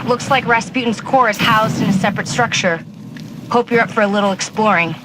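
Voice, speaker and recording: An actress playing a driven, confident scientist-adventurer in a video game, brisk and matter-of-fact as she guides an ally. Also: a little high, very noisy, lightly acted. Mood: focused. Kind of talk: video game cutscene acting. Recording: loud music behind the voice.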